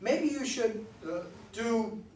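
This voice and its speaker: Recorded from afar in an echoey, noisy room, a man plays an earnest son keeping peace between his parents in a stage reading. His high voice is animated and pedantic. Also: takes it slowly, recorded from a distance, full character voice. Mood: earnest, pleading. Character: earnest, know-it-all son mediating his bickering parents. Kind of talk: staged play reading before an audience.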